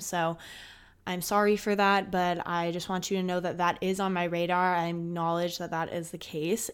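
A woman's voice: fairly low pitch, clear, resonant tone. A woman in her twenties hosting her own podcast, talking casually and candidly with frequent "like" fillers. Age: 20s. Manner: chatty, frequent "like", candid and upbeat. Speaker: podcast host. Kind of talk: casual solo podcast chat.

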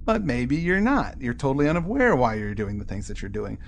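A man's voice: mid-range voice, very animated, a little fast, creaky, slight background noise. A man co-hosting a podcast, explaining things in a casual, conversational way during a book discussion. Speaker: podcast co-host.

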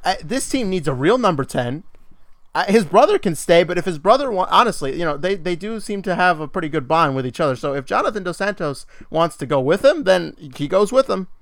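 A man's voice: sits high, sing-song, fairly quick, no echo. A man co-hosting a sports podcast in casual chat, ranting with exasperation and laughing between points. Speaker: podcast co-host.

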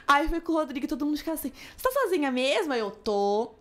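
A woman from Brazil rattles through her stories at a quick clip in a fairly high voice. She chats casually as a podcast guest, full of asides and little quoted voices. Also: lively intonation, fairly bright tone. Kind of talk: casual chat.

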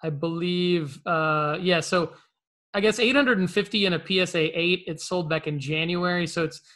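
A man hosting a casual collectibles show, explaining things in a rambling, halting way. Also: sits high, fairly bright tone. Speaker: show host. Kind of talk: casual, conversational collectibles talk.

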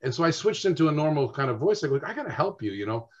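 Man, guest storyteller, animated, acts out dialogue, slightly high voice, dull lo-fi audio. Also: racing. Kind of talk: casual storytelling chat.

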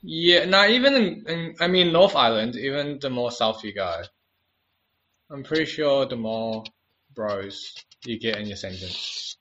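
Kiwi co-host, man, bright, a touch of hiss, jokey and easy. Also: mid-range voice, expressive, measured pace, lo-fi sound. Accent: New Zealand. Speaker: podcast co-host. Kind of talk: casual chat.

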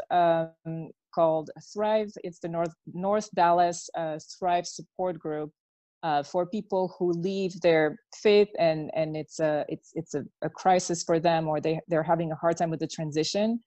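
A woman's voice: lowish voice, darkish tone, no echo. A woman from Belgium speaking informally as an interview guest, explaining things in a conversational way. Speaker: interview guest, podcast host. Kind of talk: informal personal introduction in an online interview.

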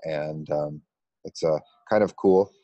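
A middle-aged man with an American accent, telling his personal story conversationally with a halting, pause-filled delivery. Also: a bass voice, mellow, dry recording, lo-fi sound. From United States. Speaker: interview guest, meditation coach.

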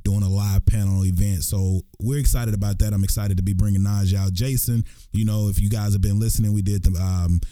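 A man hosting a podcast, talking in a relaxed, slangy way. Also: fairly low pitch, fairly quick, few pauses, no room sound, studio quality, soft background music. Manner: relaxed, slangy, laughs easily. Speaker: podcast host. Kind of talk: casual podcast chat.

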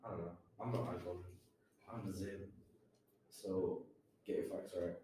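A man's voice: low voice, fairly even intonation, measured pace, background noise. A young man in a casual group chat, slangy and teasing, recorded at a distance in an echoey room.

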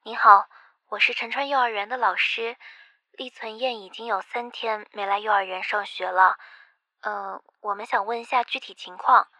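A woman's voice: medium pitch, steady tone, normal speed, pushed and bright, dry recording, low-quality recording. A woman playing a polite, concerned kindergarten teacher in a Chinese audio drama.

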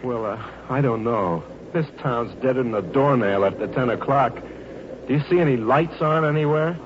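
A man's voice: medium pitch, animated, normal speed, noisy background, lightly acted. Man acting young American lieutenant, muffled old radio. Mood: earnest. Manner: earnest, slangy, tender. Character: young army lieutenant, eager affectionate sweetheart on brief leave. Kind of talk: 1940s radio drama acting.

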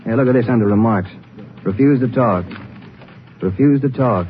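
Actor as a folksy Ranger sidekick, noisy old radio. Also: male voice, medium pitch, normal speed, close to an everyday voice. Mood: businesslike, wry. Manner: folksy, conversational. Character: plain-spoken, folksy male Texas Ranger sidekick. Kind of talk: 1950s radio drama acting. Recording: muffled, noisy old-time radio recording.

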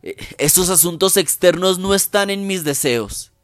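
A man performing a dramatic Shakespeare monologue as a warrior king rallying his army, solemn and declamatory with weighty pauses. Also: sits high, no echo, faint music behind, lightly acted. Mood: impassioned, proud.

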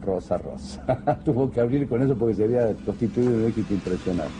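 A man's voice: on the low side, fast, background noise. A man, a singer and actor telling an amused story in an interview.

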